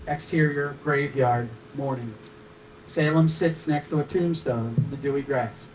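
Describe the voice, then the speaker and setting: A man's voice: medium pitch, a little slow. A man reading stage directions as scripted narration at a screenplay table read. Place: room at a live table read. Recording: distant mic, faint room noise.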